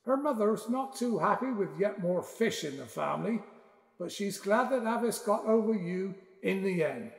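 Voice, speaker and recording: Man playing a fatherly older guy reading his letter, kinda high voice, loads of reverb, audio drama. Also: animated, moderate pace, vocal fry. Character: affectionate, advising older father writing a letter. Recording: echoey long reverb.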